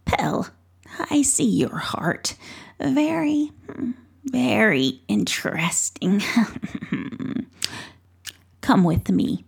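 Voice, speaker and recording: A woman voicing a croaky old hag witch who wheezes, cackles and mutters spells in a whispery voice. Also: mid-range voice, very animated, very slow, pauses often, exaggerated voice. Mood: coaxing.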